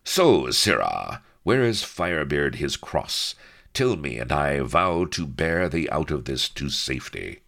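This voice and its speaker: This is a man acting a haughty gentleman swashbuckler from a storybook tale. He talks in clipped, archaic phrases with cold scorn, his pitch moving in lordly sweeps. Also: big pitch swings, measured pace, creaky, heavily acted. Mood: cold, commanding. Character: haughty, steely young gentleman hero, the king's man.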